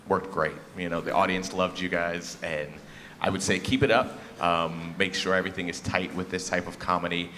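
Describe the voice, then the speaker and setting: A man's voice: sits low, a bit bright. A man acting as a theatre judge gives informal, encouraging critique to young performers in an emphatic, conversational way. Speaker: theatre festival judge, former actor. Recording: reverberant hall, faint noise.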